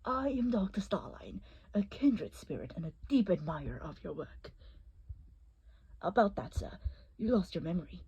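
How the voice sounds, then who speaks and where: A woman voicing a scheming, fawning male scientist villain in a theatrical, flowery cartoon style, with a breathy, husky voice. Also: on the low side, very animated, normal speed, darkish tone, dull audio, full character voice. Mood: eager, deferential.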